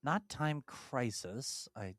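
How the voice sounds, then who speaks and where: A woman co-hosts a casual chat, thinking aloud and poking fun at herself as she guesses. Her pitch swings widely, though her pace stays slow. The sound is dry and close. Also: no room sound. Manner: animated, self-deprecating, thinks aloud and repeats clues. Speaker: podcast co-host.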